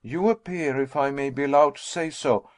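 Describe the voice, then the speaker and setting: A man's voice: a little high, normal speed, close to an everyday voice. A man voicing an anxious, tired young man who speaks hesitantly and plaintively. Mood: uneasy. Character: weary anxious young man, the professor's nephew.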